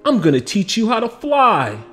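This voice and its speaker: A man's voice: high voice, sing-song, moderate pace, a bit bright, soft background music, pitched above the performer's own voice. A man voicing an earnest, pleading young boy in a children's storybook. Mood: worried, determined. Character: young boy, earnest, protective of his pet dragon.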